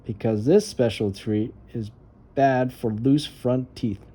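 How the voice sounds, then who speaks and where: A woman voicing a sensible, mildly scolding babysitter in a children's storybook, speaking in a measured, firm way. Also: medium pitch, warm tone, breathy edge.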